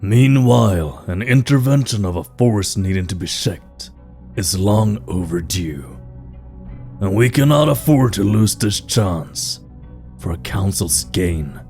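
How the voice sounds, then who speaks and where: A man acts out a proud troll king of a stone people in a fantasy audio drama. His voice is very low and he talks slowly and formally, with grave authority. Music plays clearly underneath. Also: expressive, a little mellow. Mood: indignant, haughty. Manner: stately, formal, deliberate. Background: background music.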